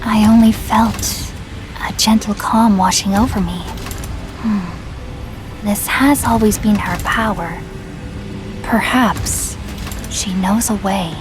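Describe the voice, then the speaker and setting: A woman playing a calm, contemplative heroine in a fantasy video game. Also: medium pitch, slow pace, pauses often, close to an everyday voice, poor audio. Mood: pensive. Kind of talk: dramatic game voice acting. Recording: loud music behind the voice.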